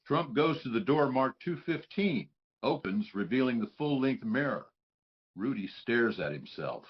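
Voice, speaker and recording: A man's voice: mid-range voice, very animated, a little slow, highs cut off. A man reading stage directions as scripted narration for a table read.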